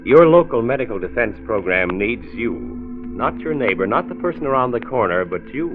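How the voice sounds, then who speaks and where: An American actor playing a reassuring, earnest county doctor who narrates a 1940s radio drama in a measured way. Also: male voice, mid-range voice, very animated, faint music behind, close to an everyday voice. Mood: earnest appeal. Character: folksy, reassuring male county health commissioner telling his story. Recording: tinny, band-limited old radio transcription disc.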